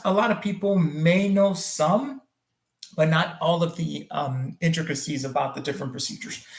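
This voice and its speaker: A man whose first language is French, a surgeon hosting a casual livestream Q&A, talking loosely with many ums and restarts. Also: a little high. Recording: roomy echo.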